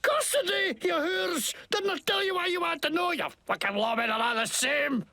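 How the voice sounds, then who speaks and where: Game crook, fake Scottish accent, gravelly, wildly swinging pitch, profane, jumpy, indignant. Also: male voice, expressive, moderate pace, bright, forceful tone, no echo, studio quality, full character voice. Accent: put-on, badly faked Scottish. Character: crude scheming wasteland grease-monkey man faking Scottish. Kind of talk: video game character acting.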